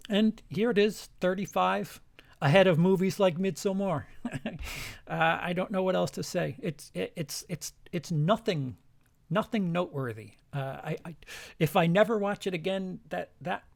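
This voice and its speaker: A man's voice: high voice, moderate pace, gravelly. A man talking loosely through his ranked list of the year's films, restarting his sentences often and swearing casually. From lived in Denmark. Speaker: channel host ranking films. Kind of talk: unscripted one-take film ranking.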